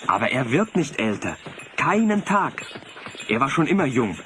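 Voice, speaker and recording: A vain, naive young fellow with a very animated, mid-pitched voice. He speaks earnestly and with swooping intonation in radio play style, over faint tape noise. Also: moderate pace. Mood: earnest, self-assured. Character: naive, vain young male sled driver, devoted citizen. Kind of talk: German audio drama acting. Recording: slightly noisy cassette audio drama.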